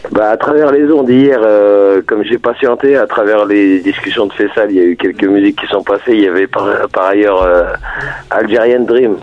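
Halting low-voiced male caller on a phone line. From Paris region, France. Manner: halting, repeats words, many fillers. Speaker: radio caller. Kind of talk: phone-in call to a radio show.

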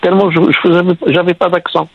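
A man's voice: sits high, level tone, fast, creaky, husky, no echo. A man calling a radio phone-in show, talking in a digressive way and repeating words while he searches for them. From Morocco. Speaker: caller. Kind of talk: casual phone-in chat. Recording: muffled phone line.

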